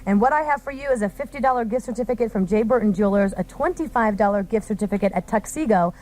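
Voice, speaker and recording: A woman's voice: mid-range voice, fast, a little mellow. A woman hosting a live radio show from a studio, chatting casually with callers. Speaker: radio host.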